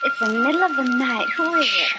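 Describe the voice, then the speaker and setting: A woman's voice: high-pitched, animated, brisk, loud music behind the voice. An actress playing a caring, soothing married woman in an old-time radio drama. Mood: concerned. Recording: muffled old radio recording.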